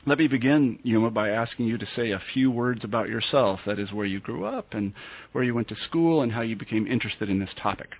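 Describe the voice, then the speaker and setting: A man's voice: mid-range voice, fast talker, highs cut off. A man hosting an interview podcast in a conversational style. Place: remote interview call from Iowa.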